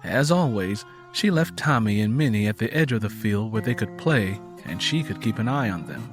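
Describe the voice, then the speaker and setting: A man's voice: fairly low pitch, animated, moderate pace, music in the background. A man reading a dramatic audiobook story as its narrator, in scripted prose.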